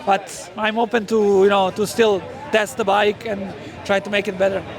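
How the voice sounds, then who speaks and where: A man racer giving a press day interview outdoors, speaking haltingly and searching for words. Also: high voice, level tone. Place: outdoors at a race track. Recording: outdoor crowd noise.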